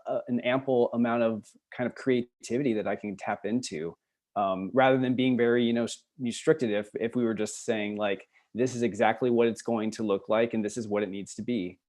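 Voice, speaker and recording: A man speaking as a theater designer on a casual panel, explaining his thoughts in a halting, considered way. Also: mid-range voice, steady tone, a little mellow.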